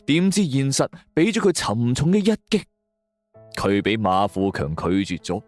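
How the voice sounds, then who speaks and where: Male storyteller, Cantonese accent, dramatic suspense narration, animated intonation, mid pitch, soft background music. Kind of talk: dramatic scripted first-person suspense narration. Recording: music bed under the voice.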